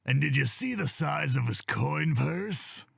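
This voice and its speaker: Gravelly, raspy bandit thug played by a man. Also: a little high, animated, a little slow, fairly bright tone, dry recording, studio quality, exaggerated voice. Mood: gloating. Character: greedy, rough male bandit henchman. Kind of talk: fantasy visual novel voice acting.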